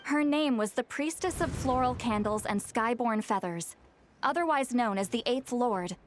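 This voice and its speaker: A poised, scholarly young woman played by an actress in a video game. Her voice sits a little high and she explains things precisely and calmly, over faint ambient noise. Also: lightly acted. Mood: composed, explaining. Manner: measured, articulate, precise. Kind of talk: video game dialogue, expository.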